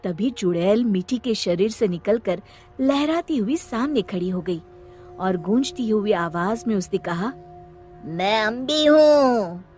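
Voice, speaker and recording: A woman storyteller giving an expressive, dramatic scripted narration of a children's story. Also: medium pitch, measured pace, faint music behind.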